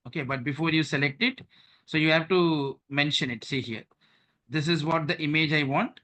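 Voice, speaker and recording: Male trainer, bright higher voice, steady step-by-step instructions over a video call. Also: moderate pace. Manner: repeats "okay" and "right", walks through steps on screen. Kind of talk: online training session.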